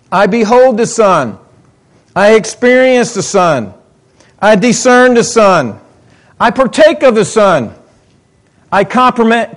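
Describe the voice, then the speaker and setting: High-pitched middle-aged guy preaching, chatty, goes from excited to mumbly asides. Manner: conversational, swinging between excited highs and muttered asides. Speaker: pastor. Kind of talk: sermon.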